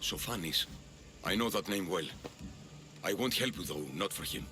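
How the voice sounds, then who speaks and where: An actor playing a wary, gruff Athenian citizen with a defensive, grudging manner in a video game. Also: male voice, low voice, normal speed, lightly acted. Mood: scornful, refusing. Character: wary, gruff, indebted Athenian citizen, stubborn but resigned. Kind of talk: video game character acting. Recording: game dialogue over music.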